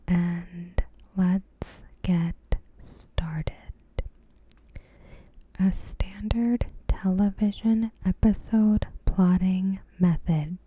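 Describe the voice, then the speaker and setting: A woman softly whispering in an ASMR style, reading out a recap with measured pauses and a breathy voice. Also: medium pitch, rough recording. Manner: soft-spoken, slow, measured, pausing mid-sentence. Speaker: ASMR video creator.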